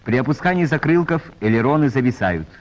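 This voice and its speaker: Muffled old 1940s film narrator guy, formal measured announcer reading, medium pitch, lo-fi sound. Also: lively intonation. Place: voice-over recorded for a film.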